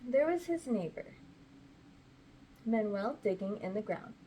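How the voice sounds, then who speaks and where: Mom doing a kids read aloud, chatty n casual, voice goes up and down a lot, little bit of background noise. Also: female voice, medium pitch, lively intonation, unhurried, warm tone, low-quality recording. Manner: chatty, informal asides to viewers. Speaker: read-aloud host and parent. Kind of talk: casual children's picture-book read-aloud.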